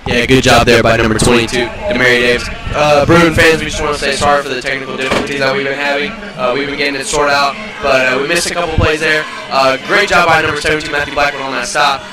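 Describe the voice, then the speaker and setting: A man's voice: medium pitch, steady tone, quick pace, hardly any pauses. A man giving loose color commentary at a live game, full of fillers and praise for the defense. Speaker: color analyst. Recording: echoey, distant mic, loud crowd.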